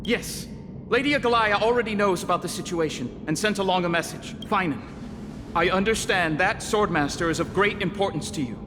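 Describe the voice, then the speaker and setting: A man acts an earnest army messenger in a fantasy game. His voice is high for a man, formal and respectful, with long reverb and clear ambient noise behind. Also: brisk, natural voice. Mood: earnest, cautioning. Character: earnest male army messenger, deferential, eager. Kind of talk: video game voice acting.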